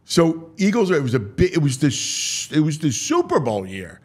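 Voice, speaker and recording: A man hosting a sports talk show, mixing dramatic, drawn-out delivery with casual, offhand asides. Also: on the high side, huge pitch swings, darkish tone, husky.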